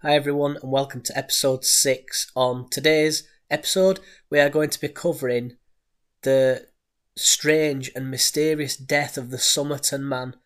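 A man's voice: mid-range voice, fairly even intonation, moderate pace, a bit bright. A man hosting a true crime podcast, narrating a mystery with a head cold. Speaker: podcast host.

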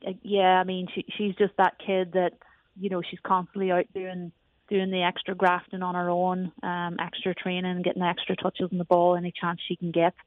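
A woman's voice: lowish voice, monotone, brisk, no room sound. A woman with an Irish accent, a soccer coach, talking in a chatty phone interview with many restarts. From Ireland. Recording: tinny phone line.

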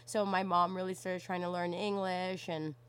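A young woman from Canada in casual conversation, telling stories in an animated way and often saying "like". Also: lowish voice, normal speed, clean tone. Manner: animated storytelling, frequent "like".

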